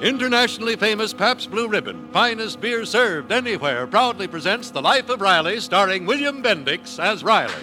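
A man's voice: high-pitched, very animated, normal speed, hardly any pauses, low-quality recording. A man announcing and narrating an old radio show in a formal, grandiloquent, scripted style. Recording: old radio broadcast recording. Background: music.

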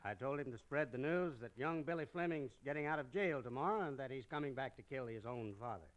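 An actor playing a scheming, self-assured frontier banker with a smug, deliberate manner in an old-time Western radio drama. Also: male voice, medium pitch, lively intonation, natural voice, rough recording.

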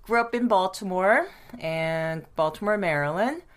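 Woman, fifties, born in Korea, Korean first language, low voice, reminiscing, halting. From South Korea. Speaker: podcast guest. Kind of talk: casual chat.

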